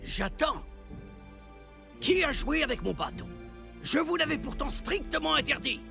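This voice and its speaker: An actor playing a strict, stern elderly village chief in a cartoon, speaking severely with a gravelly voice. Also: male voice, very high-pitched, very animated, unhurried, over music, full character voice. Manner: severe, commanding.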